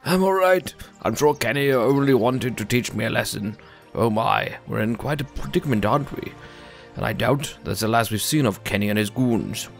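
An actor playing a battered, kindly old village mayor, weary and forgiving, in a fantasy game. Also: male voice, mid-range voice, lively intonation, normal speed, gravelly, soft background music. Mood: weary, hopeful.

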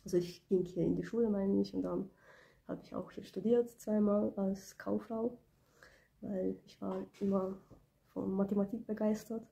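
A woman in her twenties from Ukraine, with a cold, talking softly and hesitantly in a casual vlog recorded on a phone in a small room. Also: mid-range voice, steady tone, normal speed, vocal fry. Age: 20s. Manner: soft-spoken, hesitant, many fillers. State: has a cold. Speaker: beauty business owner. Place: small indoor room, plain wall. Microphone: phone camera close up.

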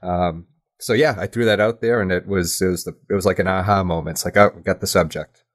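A man speaking as an interview guest in a casual, reflective way, modest, candid and a little halting. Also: sits low, fairly even intonation. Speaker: podcast guest, audio drama writer.

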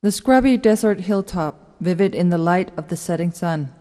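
A woman delivers scripted narration as a narrator of stage directions. Her voice is slightly low and mellow, with a long hall reverb around it. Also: expressive, normal speed.

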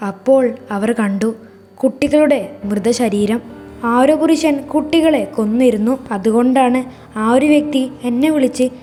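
A woman narrates a scripted first-person horror story in a measured, suspenseful way. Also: on the high side, warm tone, soft background music.

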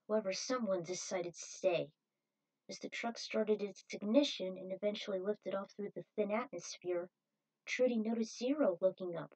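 A woman's voice: medium pitch, a little mellow, rough recording. A woman reading her own fan fiction aloud as a scripted story narration.

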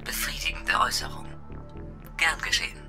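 An actor playing a loyal, precise combat robot in a retro sci-fi radio drama, formally naming each emotion before speaking. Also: male voice, fairly high pitch, sing-song, normal speed, pushed and bright, soft background music, full character voice. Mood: polite, precise. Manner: formal, precise, labels own emotions aloud.

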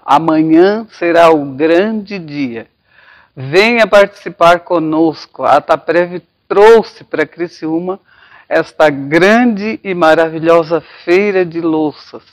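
A woman's voice: fairly low pitch, dry recording. An older woman, giving a promotional invitation to camera on a lapel mic. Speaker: association president. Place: large indoor hall. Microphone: clip-on lapel mic.

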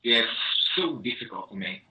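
A man's voice: medium pitch, lively intonation, normal speed, pushed and bright, muffled recording. A man speaking earnestly over a video call, often saying you know. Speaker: caller.

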